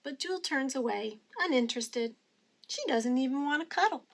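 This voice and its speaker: A woman's voice: high voice, animated, moderate pace, a little hiss or hum. A woman reading a picture book aloud expressively for young children, with careful, teacherly phrasing. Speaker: storybook reader.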